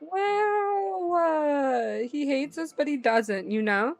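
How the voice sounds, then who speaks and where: A woman's voice: high-pitched, takes it slowly. A woman livestreamer reading visual novel narration aloud and reacting in a playful, slangy way. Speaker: livestream gamer.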